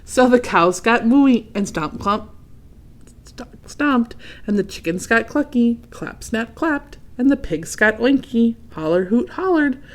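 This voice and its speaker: A woman reading a children's storybook aloud expressively, pausing to ask her young listeners questions and add comments. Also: on the high side, lively intonation. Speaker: read-aloud host.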